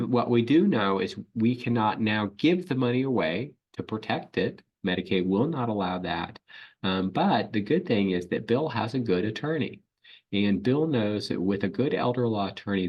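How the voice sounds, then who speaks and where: A man, an elder law attorney, giving a webinar presentation in an explanatory way, clearing his throat often and using filler ums. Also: lowish voice.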